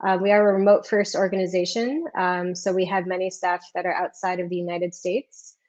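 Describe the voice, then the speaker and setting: A woman's voice: fairly low pitch, little pitch movement, brisk, a little mellow, muffled recording. A woman giving a presentation in a friendly way, with frequent ums, on a video call. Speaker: research community officer. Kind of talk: presentation talk.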